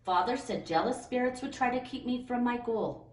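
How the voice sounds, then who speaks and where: A woman's voice: a little high, animated, moderate pace, voice pushed up, close to an everyday voice. A woman voicing a polite, earnest young male warrior in a children's storybook. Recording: roomy echo, distant microphone.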